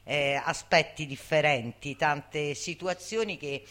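A woman's voice: low voice, slow pace, fairly bright tone. An older woman speaking informally and passionately to others, emphatic and often seeking agreement with tag questions. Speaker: guest speaker, veteran activist. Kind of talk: impassioned informal public talk.

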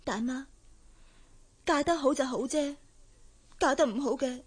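An actress with a Hong Kong Cantonese accent playing a headstrong, wounded young working woman in a radio drama, hushed and plaintive. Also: high voice, measured pace. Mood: defiant. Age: young adult.

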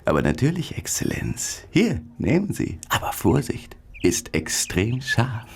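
An actor playing an obsequious, flattering Victorian hardware shopkeeper in a radio play, drawing out his words servilely. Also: mid-range voice, measured pace, breathy edge, heavily acted. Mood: hushed, oily.

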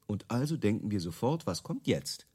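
A man's voice: lowish voice, very animated, moderate pace, a little mellow, husky. An actor playing a brash, self-assured marketing man in a radio drama, emphatic, opinionated and dismissive. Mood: enthused pitching. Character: brash, self-assured male product-marketing creative selling gadget stories. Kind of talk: radio drama dialogue in interview form.

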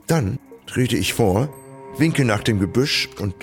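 A brusque, menacing forester in an experimental radio play. His medium-pitched voice swings widely and emphatically between narration and interrogation, with faint music behind it. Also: expressive, slow pace, vocal fry. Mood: measured narration.

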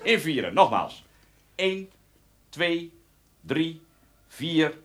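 An actor playing an exasperated, fussy amateur band conductor who repeats himself, in a Dutch radio play. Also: male voice, fairly high pitch, very animated, extremely slow, fairly bright tone, heavily acted. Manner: repeats himself, calling to order.

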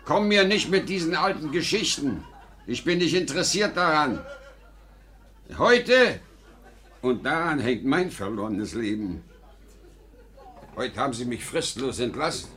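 A man's voice: medium pitch, lively intonation, measured pace, long pauses, bright, forceful tone. An actor playing an older, desperate and self-deluding salesman father in a theatrical 1950s German radio drama. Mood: bitter, desperate. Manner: volatile, emphatic, theatrical.

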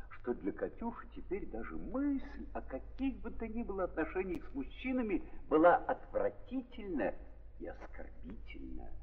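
A man narrating a 1930s radio drama in an impassioned theatrical style, with heavy pauses and repeated phrases. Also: medium pitch, slightly rough. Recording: muffled, echoing 1930s archive recording.

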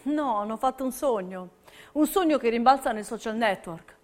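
Higher-pitched woman, dramatic stage narration. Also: animated, normal speed. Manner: dramatic, rhythmic, emphatic phrasing. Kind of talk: scripted theatrical narration.

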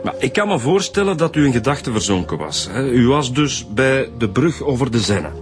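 An actor playing a calm, coaxing police inspector with a Flemish accent in a radio drama. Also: male voice, medium pitch, a little fast, faint music behind, natural voice.

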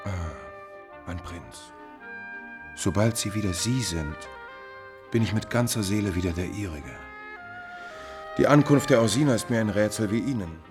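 An actor playing a calculating, coolly insolent court adviser in a classical German radio drama, with a measured, formal, insinuating delivery. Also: male voice, fairly low pitch, expressive, music in the background, natural voice. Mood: deferential, composed.

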